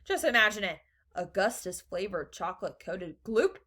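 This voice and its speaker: A woman voicing an eccentric, excitable storybook gentleman who speaks with theatrical emphasis and breezy reassurance. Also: mid-range voice, moderate pace. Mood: amused, reassuring.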